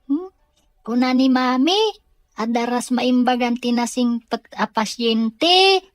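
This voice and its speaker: Woman as a slow, high-voiced innocent Ilocano child. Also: no echo, clean studio sound. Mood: curious, earnest. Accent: Filipino, Ilocano. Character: sweet, innocent young child of the therapist. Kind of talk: Ilocano radio drama acting.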